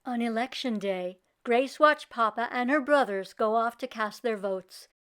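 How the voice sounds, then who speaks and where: A woman's voice: fairly high pitch, unhurried, a bit bright. A woman reading a children's book aloud expressively, in a teacherly way that invites young listeners in. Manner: teacherly, clearly paced. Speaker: children's read-aloud storyteller. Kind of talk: expressive storybook narration with questions to young listeners.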